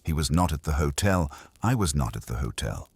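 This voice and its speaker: A man's voice: very deep voice, very animated, brisk, a little mellow, slightly rough, no room sound, exaggerated voice. A man playing a defensive, methodical doctor in an audiobook mystery, giving clipped, factual statements.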